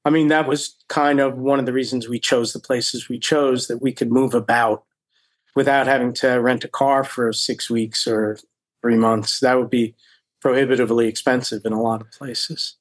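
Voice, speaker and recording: A man's voice: medium pitch, fairly even intonation, normal speed, no echo. An older American man chatting casually as an interview guest and telling stories from his own experience. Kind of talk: casual storytelling chat.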